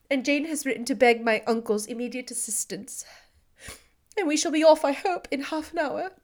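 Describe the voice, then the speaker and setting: A woman's voice: high voice, fairly bright tone, pitched above the performer's own voice. Woman voicing a distraught, tearful young woman. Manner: agitated, breathless, pleading. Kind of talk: audiobook character reading.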